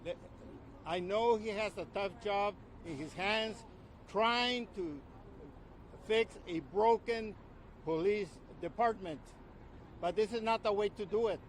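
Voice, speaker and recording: A man with a high voice speaks at an outdoor press conference, measured but stumbling over his words. A faint noise sits behind him.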